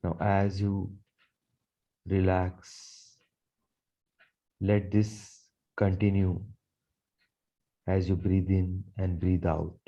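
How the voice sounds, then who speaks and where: A man leading a guided meditation in a soothing, measured manner, repeating phrases as he goes. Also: deep voice, little pitch movement, lo-fi sound. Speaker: guided meditation leader, Reiki teacher.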